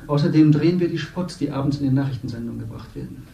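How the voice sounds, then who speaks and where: A man's voice: medium pitch, quick pace, gravelly, mellow, a little hiss or hum, close to an everyday voice. A man playing a naive actor cast as a government minister, talking earnestly and self-assuredly in a 1970s radio drama. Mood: explaining. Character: male actor turned TV minister, eager, naive. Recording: roomy distant radio play with music.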